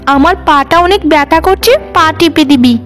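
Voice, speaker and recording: A woman's voice: high-pitched, moderate pace, fairly bright tone, soft background music. A woman voicing a cruel, vain young sister-in-law in a cartoon, mocking and bossy. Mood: mocking, scornful.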